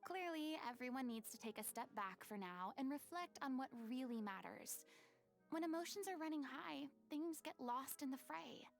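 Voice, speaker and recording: A high, theatrical young woman's voice for a video game. She is proud and commanding, making each statement like a declaration, with music playing softly behind her. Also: animated, normal speed, vocal fry.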